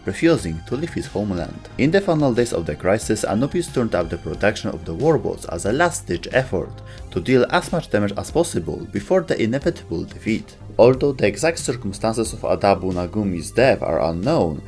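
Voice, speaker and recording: Male explainer narrator, lower register, animated delivery, scripted read over a music bed. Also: brisk, hardly any pauses. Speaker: narrator of a video game lore explainer. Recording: music under the voice.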